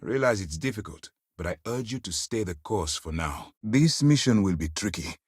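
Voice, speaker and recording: A stern military commander from a video game, with a low voice that moves widely between measured restraint and force. He phrases things formally and with authority, in a close, dry recording. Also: animated, breathy edge, no room sound. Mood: briefing, firm. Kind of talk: scripted video game dialogue.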